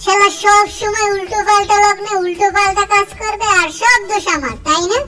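A woman voicing a sharp-tongued female mango tree in a comic cartoon, scolding and nagging her partner. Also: very high-pitched, fairly quick. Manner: scolding, nagging, mock-scornful. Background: loud music.

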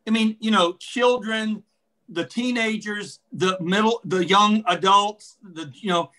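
A man's voice: high-pitched, unhurried, bright, forceful tone, rough recording. An older man chatting casually and enthusiastically in a rambling way on a video call. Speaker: amateur character actor. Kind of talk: casual chat. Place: indoor room at home. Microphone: computer on a video call.

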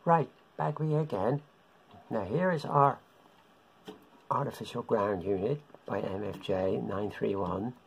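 A middle-aged man with a husky voice gives an informal beginners' tutorial, explaining haltingly in short phrases at home. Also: lowish voice. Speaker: hobbyist radio instructor. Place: home living room.

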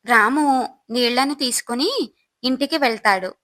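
Bright, higher-pitched woman storyteller. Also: measured pace. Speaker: narrator. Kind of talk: scripted moral story narration.

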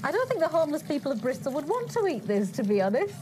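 A woman's voice: high-pitched, very animated, quick pace, darkish tone. A young British woman chatting casually in a playful, sweary, joking way in a kitchen. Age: young adult. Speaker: co-host of a charity cooking livestream. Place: studio kitchen, cooking noise behind. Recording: noisy busy kitchen livestream.

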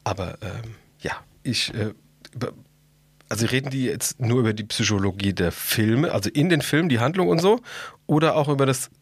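Husky middle-aged man chatting casually. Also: medium pitch, animated, fairly quick, no room sound. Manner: colloquial, slangy, enthusiastic. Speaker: podcast co-host. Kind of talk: casual podcast chat.

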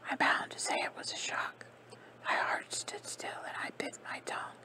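A woman reading a comic novel aloud in a soft, steady whisper, in the style of an ASMR audiobook. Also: normal speed. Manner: soft unvoiced whisper throughout. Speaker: narrator.

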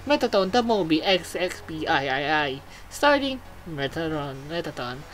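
A woman's voice: low-pitched, big pitch swings, moderate pace, slight background noise, pitched below the performer's own voice. A woman voicing a vain, gushing showbiz robot who presents TV news in a video game, with theatrical announcer phrasing. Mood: vain, coy.

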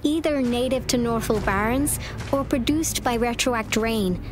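Actress as solemn young woman official for a game, higher voice, measured and formal, music behind. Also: natural voice. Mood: grave. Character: composed, solemn young woman defense director. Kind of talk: video game character dialogue.

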